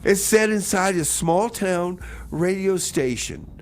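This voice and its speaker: A man's voice: high-pitched, animated, takes it slowly. An American man hosting a radio show with casual, rambling banter and a hesitant, exclaiming way of talking. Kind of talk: casual radio banter and song back-announcing. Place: small radio station studio.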